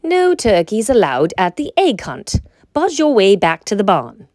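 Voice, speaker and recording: Storybook farmer, female reader, stern, scolding, male character, varied pitch. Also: huge pitch swings, measured pace, darkish tone, no echo, clean studio sound. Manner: admonishing.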